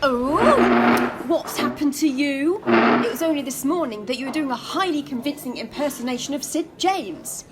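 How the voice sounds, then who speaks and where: A woman's voice: high-pitched, animated, normal speed, vocal fry, heavy background noise. A woman playing a genteel, gossipy hotel receptionist in an audio drama, prim and coy. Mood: wry.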